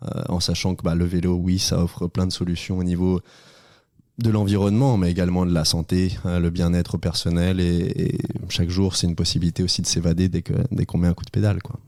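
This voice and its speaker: A man's voice: deep voice, level tone, a little fast, darkish tone, no room sound, clean studio sound. A man, a radio guest, explaining at length with many fillers. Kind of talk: radio interview.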